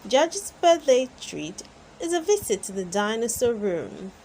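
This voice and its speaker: A woman reading a storybook aloud for children in an expressive way, as the host. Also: a little high, a little slow, a little hiss or hum, low-quality recording. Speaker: storybook reader and channel host.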